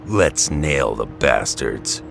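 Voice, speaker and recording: A man playing a gruff, cynical middle-aged mutant brawler in an audio drama, blunt and growling. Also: very deep voice, sing-song, slow, a bit bright, faint music behind, full character voice. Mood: menacing. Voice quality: gravelly. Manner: blunt, growling, street-tough.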